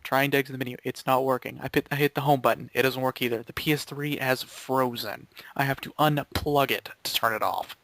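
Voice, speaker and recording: A man's voice: mid-range voice, steady tone, a little fast, few pauses, a bit bright, dry recording. A man with a mid-range voice, recorded dry. He talks casually like one of a group of gaming friends. His delivery is jokey and tends toward the mock-dramatic. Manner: jokey, given to mock-dramatic put-on lines. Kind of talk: casual group banter about video games.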